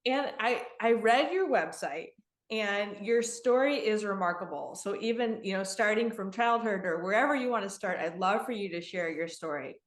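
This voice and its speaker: A woman's voice: medium pitch, normal speed. A woman hosting an interview channel, introducing her guest enthusiastically and asking questions. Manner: enthusiastic, welcoming. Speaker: interview host. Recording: echoey room.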